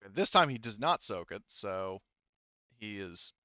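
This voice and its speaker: A man's voice: on the low side, sing-song, unhurried, bright, forceful tone, no room sound, highs cut off. A man acting as game master in a tabletop roleplaying game, thinking aloud through rules and dice math.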